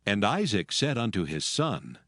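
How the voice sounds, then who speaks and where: A man narrating a dramatized scripture reading in a measured, solemn storytelling manner. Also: fairly low pitch, sing-song, vocal fry, a bit bright, no echo, studio quality.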